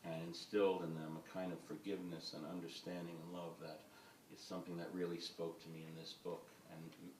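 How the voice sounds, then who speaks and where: A man's voice: low voice, little pitch movement, a little fast, hardly any pauses, a little mellow, far from the mic, slight background noise. A man, a film director on a panel, speaking in a rambling way full of hesitations. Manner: rambling, filled with uhs and ums. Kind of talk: panel discussion. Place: event hall with audience. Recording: roomy hall miked from afar.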